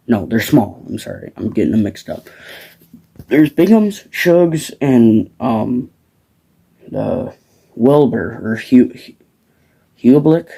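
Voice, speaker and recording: Slow-talking teenage boy, low voice. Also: gravelly, dark tone, low-quality recording. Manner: rambling, many fillers and restarts. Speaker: farm vlogger. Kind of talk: casual rambling talk. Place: outdoors on a farm at dusk.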